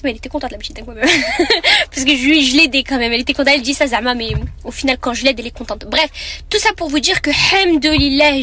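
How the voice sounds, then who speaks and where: A woman in her twenties from France, telling a personal story in a casual, animated way and sprinkling in Arabic phrases. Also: sits high. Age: 20s. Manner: animated, fast, chatty, mixes Arabic phrases. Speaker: content creator.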